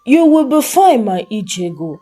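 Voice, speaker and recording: A woman's voice: on the high side, very animated, normal speed, dark tone, faint music behind. A woman voicing a grown son in a folktale, earnest and defiant, speaking in a firm, emphatic way. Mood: reassuring.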